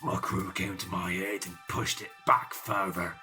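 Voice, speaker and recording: A man's voice: deep voice, level tone, pushed and bright, soft background music, heavily acted. An actor playing an aging, boastful pirate captain who tells his tales in a grave, theatrical, rambling way for an audio drama. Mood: regretful. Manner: theatrical, deliberate, archaic seafaring speech.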